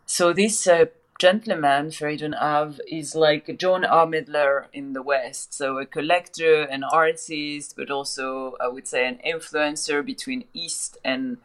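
A woman's voice: low-pitched, fairly even intonation, a little slow, hardly any pauses. A woman, speaking as a guest on a remote interview about her art advisory work and her life. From France. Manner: fluent, with many repeated starts. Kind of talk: casual interview chat. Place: remote call from another city.

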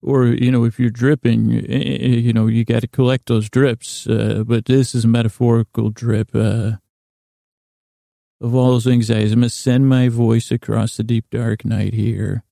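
Creaky-voiced American man, meandering and mellow. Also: medium pitch, flat delivery, moderate pace, no room sound, studio quality. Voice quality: gravelly, creaky. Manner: meandering, self-correcting, stumbling over and mangling words; lighthearted, gently silly. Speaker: podcast host. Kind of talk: rambling bedtime monologue to lull listeners to sleep.

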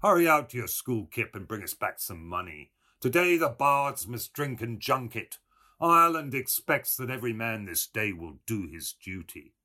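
A man's voice: medium pitch, sing-song, moderate pace, pushed and bright, voice pushed up. A man voicing a boisterous, mocking young Irish wit in a storybook, with mock-grand declamation.

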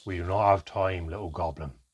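A gruff, protective man with a deep, rough, husky voice, as the narrator puts him on. He sounds sturdy and steadying throughout this storybook reading. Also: fairly even intonation, brisk. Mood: soothing. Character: gruff, protective older male companion.

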